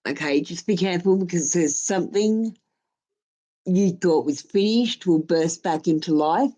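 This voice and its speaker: Female live-stream tarot reader, unhurried and soft-toned, informal and plain-spoken in her advice. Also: fairly low pitch. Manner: blunt, informal, sweary. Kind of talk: casual live tarot reading, giving advice.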